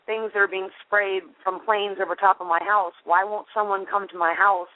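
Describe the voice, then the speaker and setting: A woman's voice: medium pitch, fairly even intonation, moderate pace, dry recording. A woman calling in a complaint by phone, insistent and questioning, often repeating herself. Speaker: member of the public calling in a complaint. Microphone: telephone. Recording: narrow-band telephone line.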